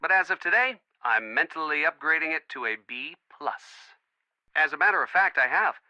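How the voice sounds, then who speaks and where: An actor playing an earnest, wisecracking young American boyfriend, chatty and eager, in an adventure game. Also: male voice, a little high, lively intonation, normal speed, pushed and bright, dry recording, dull audio. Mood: informative, upbeat. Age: young adult. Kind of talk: scripted adventure game dialogue.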